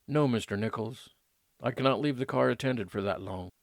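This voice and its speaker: A man's voice: medium pitch, moderate pace, dry recording, pitched above the performer's own voice, natural voice. A courteous, dutiful driver, played by a man in an old-time radio mystery. He speaks formally, with his voice lifted a little, in a dry recording. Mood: polite, formal. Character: polite, dutiful male chauffeur. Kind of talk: old-time radio detective drama acting.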